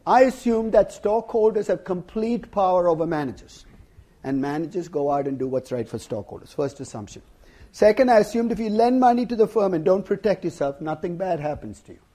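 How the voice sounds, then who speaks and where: A middle-aged man lecturing a class on finance, husky from losing his voice, wry and conversational, putting questions to his students. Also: high-pitched, mellow. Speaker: finance professor. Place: lecture hall.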